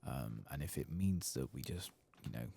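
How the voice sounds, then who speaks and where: A man softly narrating his video game in ASMR style, tired and casual, muttering to himself and drifting into whispers. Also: rumbling low, expressive, moderate pace, vocal fry, slightly rough. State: tired, late at night. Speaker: host of a game playthrough. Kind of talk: soft-spoken ASMR gameplay commentary. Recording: faint background noise.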